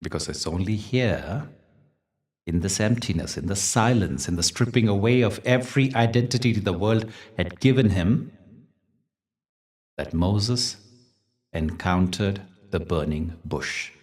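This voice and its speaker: A man with a deep, low voice leads a guided meditation. He speaks gently and deliberately, pausing for effect as he tells stories and soothes the listener. His voice sits a little distant from the microphone. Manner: gentle, deliberate, soothing delivery with dramatic pauses. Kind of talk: guided meditation talk with storytelling.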